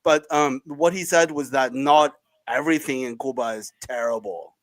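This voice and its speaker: A man jumps into a political discussion with emphatic interjections, hesitating often with ums and restarts. His pitch sits in an ordinary middle range. The recording is dry and close, with no room sound. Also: moderate pace, dry recording.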